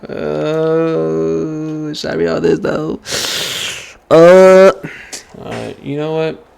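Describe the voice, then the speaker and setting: A man's voice: on the high side, steady tone, drawn-out words, gravelly, darkish tone, clean tone. A man in his late teens doing casual, rambling game playthrough commentary, often repeating himself and hesitating. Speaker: gaming streamer.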